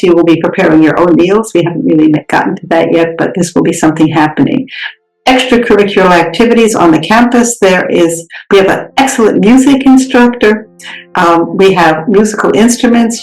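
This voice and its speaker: American lady explaining a program, gravelly voice, echoey room, bit of music behind. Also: on the low side, a little mellow. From United States. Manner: reassuring, explanatory. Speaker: regional director of a freshman program. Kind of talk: podcast interview answers.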